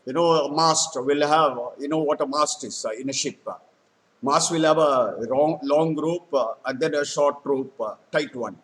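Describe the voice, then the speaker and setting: A man telling a folktale in a halting, explanatory way, with frequent hesitant pauses. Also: a little high. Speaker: storyteller. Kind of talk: spoken folktale retelling with vocabulary explanations.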